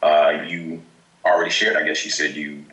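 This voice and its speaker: A male show host with a very deep, low voice, speaking in short phrases broken by frequent "uh" fillers. His delivery is upbeat and welcoming. The sound is roomy and echoey. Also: little pitch movement, normal speed, bright, forceful tone, muffled recording. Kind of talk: call-in internet radio hosting.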